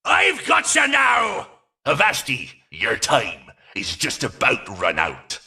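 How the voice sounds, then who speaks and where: A man voicing a mischievous, menacing animatronic pirate fox in a video game, with a stage pirate accent, boisterous and taunting in a gravelly growl. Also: mid-range voice, huge pitch swings, slow, sharp tone, exaggerated voice. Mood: gleeful menace. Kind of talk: video game character voice lines.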